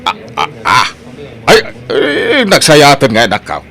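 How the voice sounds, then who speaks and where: A man's voice: mid-range voice, wildly swooping pitch, measured pace, vocal fry, sharp tone, exaggerated voice. A man with an Ilocano accent playing an older provincial father who urges marriage in a comedy drama. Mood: urging. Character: older provincial father urging his son to marry.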